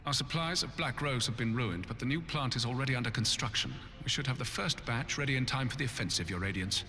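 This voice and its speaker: An actor playing a deferential imperial military subordinate in a video game, giving a formal report in a reverberant hall. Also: male voice, medium pitch, fast talker, bright, forceful tone. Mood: dutiful report. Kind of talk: video game drama acting. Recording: hall reverb, music behind.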